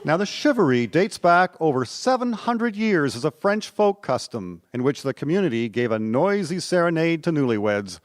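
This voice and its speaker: A man's voice: on the high side, sing-song, normal speed, hardly any pauses. A man narrating scripted local history for a radio drama.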